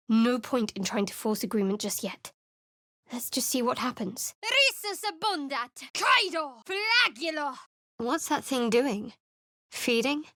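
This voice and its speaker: Sarcastic young cleric, guarded, kinda high voice, dry booth sound. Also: female voice, dry recording. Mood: incanting. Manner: dry, wry and cutting. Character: guarded, sardonic young woman cleric adventurer. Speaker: video game character. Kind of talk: game voice lines.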